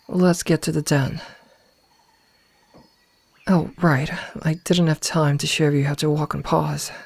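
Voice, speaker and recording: Soft, soothing motherly werewolf played by a woman. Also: low-pitched, creaky, husky, exaggerated voice. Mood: gentle, comforting. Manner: soothing, reassuring, unhurried. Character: gentle motherly female werewolf guiding a newly turned packmate. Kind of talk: soft ASMR roleplay.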